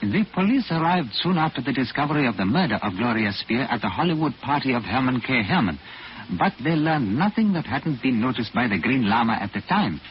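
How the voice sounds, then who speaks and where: An actor playing an earnest narrator in a scripted 1940s radio mystery. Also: male voice, mid-range voice, sing-song, a little fast, close to an everyday voice. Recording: dull, faintly noisy 1940s radio recording.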